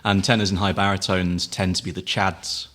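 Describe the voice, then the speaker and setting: A man's voice: low-pitched, little pitch movement, moderate pace, a bit bright. A man appearing as a guest from an amateur theatre society in a radio studio. He explains things with enthusiasm and dry humor. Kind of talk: casual interview chat.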